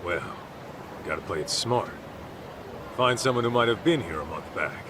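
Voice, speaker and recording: An actor playing an easygoing, gruff middle-aged private detective with streetwise phrasing in an English-dubbed video game. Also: male voice, on the low side, lively intonation, normal speed, background noise, lightly acted. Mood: casual, probing.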